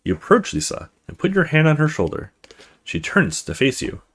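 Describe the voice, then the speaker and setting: Animated man narrating a horror visual novel. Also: a little high, normal speed. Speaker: let's play host. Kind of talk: reading a visual novel aloud with offhand commentary.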